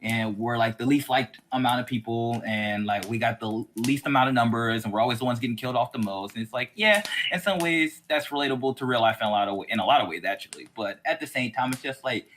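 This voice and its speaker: A podcast co-host with a mid-pitched voice talks in a casual, opinionated way. The delivery rambles and keeps qualifying itself, slipping into mimicry of imagined speakers. Faint noise and clicks sit in the recording. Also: fast talker, few pauses, a bit bright.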